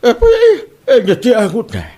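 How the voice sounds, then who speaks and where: A man's voice: very high-pitched, huge pitch swings, moderate pace, warm tone, full character voice. A man playing a teasing, easily amused husband with an Ilocano accent in a comedy audio drama. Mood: puzzled.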